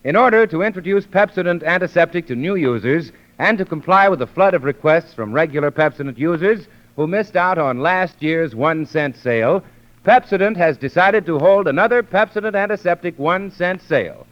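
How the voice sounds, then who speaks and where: A man's voice: fairly high pitch, lively intonation, normal speed. An American man delivering an emphatic 1930s radio advertisement as the show's announcer. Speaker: radio announcer reading a sponsor ad. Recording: dull 1930s radio recording.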